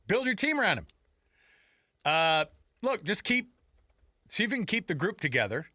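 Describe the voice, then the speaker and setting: A man's voice: high-pitched, sing-song, moderate pace, bright, forceful tone, dry recording, studio quality. A man hosting a sports talk radio show, bantering casually in an informal, playful way. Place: radio studio. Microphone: close studio mic.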